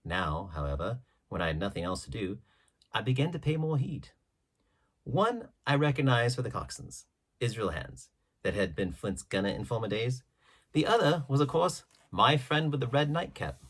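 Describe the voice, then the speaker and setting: Husky-voiced man narrating an adventure story. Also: medium pitch, big pitch swings, normal speed, fairly bright tone. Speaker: audiobook reader.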